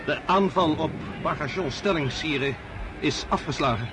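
High-pitched, urgent general played by a man reporting. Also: normal speed, close to an everyday voice. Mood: grave, formal. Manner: deferential, military, pressing. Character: urgent French general reporting to his emperor. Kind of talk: radio drama acting. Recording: noisy battlefield radio drama.

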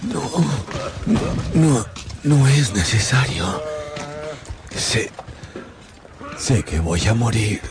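A man's voice: medium pitch, sing-song, very slow, pauses often, full character voice. An actor playing a weak, dying wounded soldier who falters as he speaks in a radio drama. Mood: faltering, urgent.

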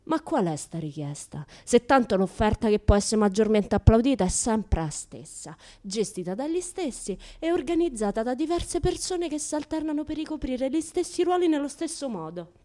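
An actress playing a self-styled naive, defiant young woman in a colloquial radio theatre monologue, with a Roman Italian accent, swinging between hushed asides and louder outbursts. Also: fairly high pitch, big pitch swings, moderate pace, hardly any pauses. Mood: self-justifying.

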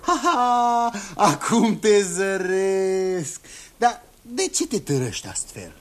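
An actor playing a bold, lively young hero on horseback in a 1960s radio drama. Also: male voice, sits high. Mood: spirited. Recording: hissy 1960s radio recording.

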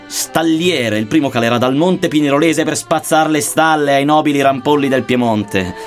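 A man with a slightly high voice recites old-fashioned narrative poetry. His delivery is solemn and declamatory, measured line by line, with music playing underneath. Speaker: reader of a satirical verse narrative. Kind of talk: dramatic poetry recitation in archaic Dantean verse.

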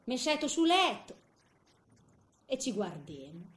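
A woman's voice: fairly high pitch, big pitch swings, slow, roomy sound. An actress playing a tender, chatty eighty-year-old woman with a Ligurian Italian accent, in a homely theatrical monologue. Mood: tender, wistful. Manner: chatty, homely, hesitant storytelling with little interjections.

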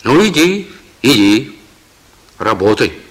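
A man's voice: medium pitch, big pitch swings, slow pace. An actor in a Soviet radio drama playing a seasoned police chief, stern but fatherly, who admonishes and repeats his words. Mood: brisk.

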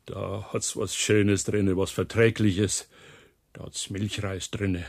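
A man's voice: on the low side, little pitch movement, takes it slowly, darkish tone, dry recording, clean studio sound, full character voice. An actor playing a coarse but kindly foreman in a radio drama, speaking folksy Silesian German dialect. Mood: gentle, coaxing. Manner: folksy, gruff dialect talk.

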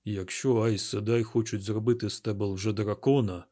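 A man voicing a calm, burly young man in an audiobook, speaking quietly and deliberately. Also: fairly low pitch, lightly acted. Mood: calm, grave.

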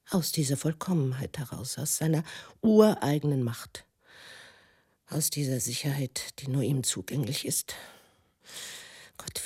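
Embittered wife, radio play, very husky and breathy, gravelly creak, slow, brooding, halting, intense. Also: female voice, a bass voice, darkish tone, no echo, studio quality, full character voice. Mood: bitter, wounded. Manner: halting, self-correcting, brooding. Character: bitter wounded wife remembering a faith healer, intense. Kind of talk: radio drama monologue.

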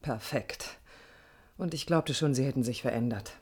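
A woman's voice: a bass voice, normal speed, a little mellow, husky. An actress playing a headstrong, idealistic, provocative woman architect in a German radio play, challenging and probing in manner. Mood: searching, earnest.

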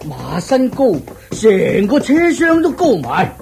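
An actor playing a jovial, curious king in a radio drama, with a rough husky voice and a Hong Kong Cantonese accent, exclaiming eagerly. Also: high-pitched, huge pitch swings, takes it slowly, darkish tone, heavily acted. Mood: pleased, impressed. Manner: exclaiming, chuckling, repeating words eagerly. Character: excitable, jovial king, curious and easily delighted.

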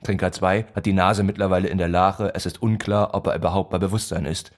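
A man narrating a literary radio play in deliberate, measured short phrases. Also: low voice, steady tone, soft background music, close to an everyday voice.

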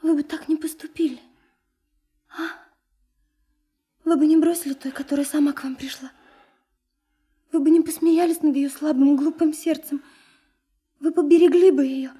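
An actress in a Russian radio play, voicing a fragile, heartbroken young girl, tender and impulsive, with breathy, tremulous, urgent delivery. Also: sits high, steady tone, normal speed, pauses often, dark tone, close to an everyday voice. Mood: tearful, wounded.

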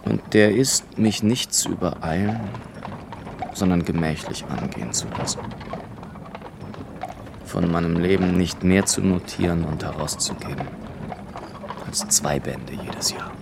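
An actor playing a restless, wordy gentleman writer on his travels in a literary radio play. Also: low voice, unhurried, gravelly, pauses often, background noise. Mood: deliberate, wry.

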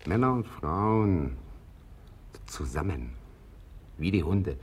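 A naive, self-important young man with a low, rough-husky voice. He rants grandly and suspiciously, then drops into secretive confiding, in the theatrical manner of a radio drama. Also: lively intonation, takes it slowly, heavily acted. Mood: confiding, prurient. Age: young adult. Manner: ranting, grandiose, swinging into conspiratorial confiding. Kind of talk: 1980s German radio play acting.

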